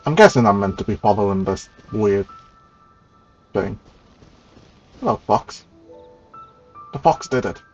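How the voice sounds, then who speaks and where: A man's voice: on the low side, lively intonation, a little slow, pauses often. Male game streamer, dull mic over music. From Canada. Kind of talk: casual playthrough, reading dialogue aloud.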